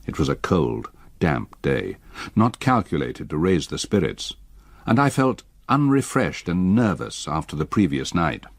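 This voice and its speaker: An actor playing an uneasy, educated solicitor in a gothic radio drama, with measured, articulate, old-fashioned speech. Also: male voice, low voice, very animated, dry recording, heavily acted. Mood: subdued, reflective. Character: uneasy, educated male solicitor recounting a haunting.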